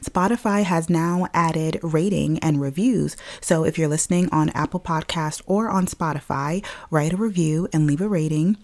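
A young woman hosting a casual solo podcast, her voice raspy and a bit deeper than usual from a scratchy throat, chatty with frequent laughs and fillers. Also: normal speed, hardly any pauses, darkish tone. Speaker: podcast host. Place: at home, filming herself.

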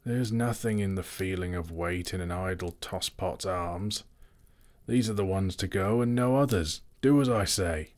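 A man's voice: sits low, measured pace, a little mellow. A man voicing a cross, drowsy old male elf butler who grumbles and growls in a storybook reading. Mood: growling.

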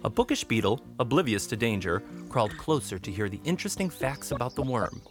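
Guy hosting a kids story podcast, chatty, medium pitch, soft music behind. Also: very animated, fairly quick. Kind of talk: children's storytelling and casual host chat.

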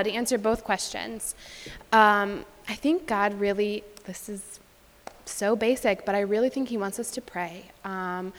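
A woman's voice: medium pitch, fairly bright tone, slight background noise. Hesitant woman speaking in a hall. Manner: hesitant, many "um"s, laughs often. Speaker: panel speaker, pastor's wife. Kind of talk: casual panel talk. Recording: reverberant hall.

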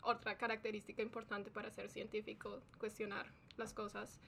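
A woman chatting as a guest scientist, lively and quick to laugh. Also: medium pitch, creaky, slight background noise. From Colombia. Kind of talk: relaxed interview chat.

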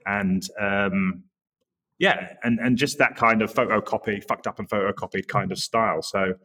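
An Englishman in his forties from the Norwich area, chatting as an interview guest in a relaxed, rambling way with many restarts. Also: on the low side, moderate pace. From England, Norwich area. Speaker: guest, creative director. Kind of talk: casual interview chat.

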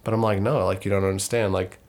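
A thirty-year-old man from northern Minnesota talks through his story on a relaxed podcast. His voice sits fairly low, and he rambles, backing up to fix details and filling pauses with ums. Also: gravelly. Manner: rambling, self-correcting with many ums. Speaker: podcast co-host. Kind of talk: casual conversational podcast.

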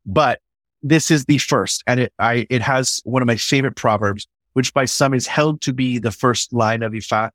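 A man's voice: medium pitch, moderate pace, fairly bright tone, dry recording. A man speaking in an enthusiastic, conversational way, swearing casually and often restarting his sentences. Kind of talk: conversational podcast discussion.

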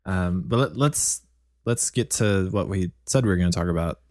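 A man's voice: low-pitched, normal speed, darkish tone, no room sound, studio quality. A man making wry, joking remarks in a casual band podcast.

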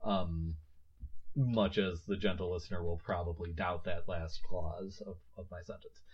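A man's voice: deep voice, fairly even intonation, a little slow, breathy edge. A man in his thirties hosting a casual book-discussion podcast, thinking aloud with many fillers and restarts. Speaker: podcast host. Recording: slightly muffled lo-fi audio.

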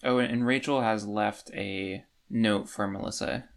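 A man co-hosting a podcast, talking in a casual, halting, conversational way. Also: on the low side, steady tone. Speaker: podcast co-host. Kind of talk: casual book discussion.

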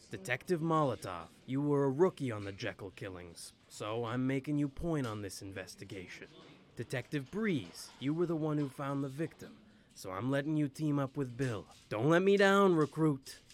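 A man's voice: medium pitch, animated, normal speed, natural voice. A man playing a brusque, commanding police chief briefing detectives in an audio drama. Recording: faint background noise and ambience.

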